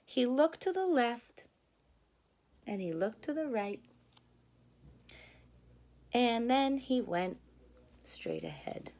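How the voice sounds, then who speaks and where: Woman, storybook read-aloud, highish animated voice, unhurried, teacherly, pauses to explain and ask questions.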